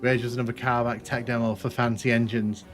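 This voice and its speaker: A man's voice: medium pitch, flat delivery, fairly quick. A man from Northern England with a Manchester accent, chatting casually to viewers while he plays through a game on a live stream, rambling and correcting himself as he goes. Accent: Manchester English. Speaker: gaming streamer. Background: game music.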